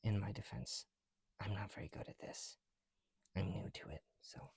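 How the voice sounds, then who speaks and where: A young man chatting casually in soft, breathy ASMR style, often dropping to a whisper, slightly breathless behind a mask, with a rambling, self-deprecating manner. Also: lowish voice, flat delivery, normal speed, dry recording. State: somewhat breathless through a heavy mask. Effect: speaking through a mask. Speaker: ASMR video creator. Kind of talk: ASMR, casual chat while building bricks. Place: indoors, at home.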